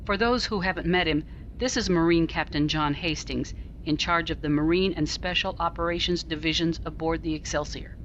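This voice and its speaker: A woman playing a composed, principled starship captain in a science-fiction audio drama, speaking in a measured, articulate and authoritative way. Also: low voice, dull audio, slight background noise, lightly acted. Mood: businesslike.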